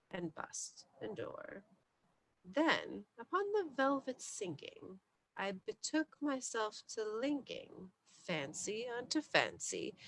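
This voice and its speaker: A woman storyteller giving a deliberate, theatrical bedtime reading of gothic poetry, sometimes hushed. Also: mid-range voice, a little hiss or hum, low-quality recording.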